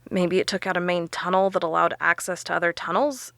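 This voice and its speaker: Bright, emphatic woman investigator. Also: fairly low pitch, level tone, brisk, creaky, no echo, clean studio sound. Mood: explaining, intent. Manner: emphatic, explanatory. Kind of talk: audio drama dialogue.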